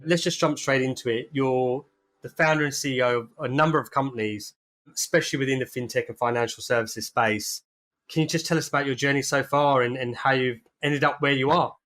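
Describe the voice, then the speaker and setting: A man's voice: mid-range voice, steady tone, a little fast, fairly bright tone. A male podcast host welcoming a guest and asking direct questions. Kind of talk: interview questions.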